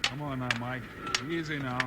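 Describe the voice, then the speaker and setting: An actor playing a bold, steady western lawman hero with a clipped, commanding manner in a 1950s radio drama. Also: medium pitch, normal speed, close to an everyday voice. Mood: calm. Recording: noisy old radio broadcast recording.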